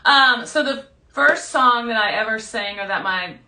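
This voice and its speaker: A middle-aged woman with a loud, strong voice, hosting a casual live chat as a singer who breaks into song. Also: on the high side, unhurried, echoey room. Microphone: phone livestream.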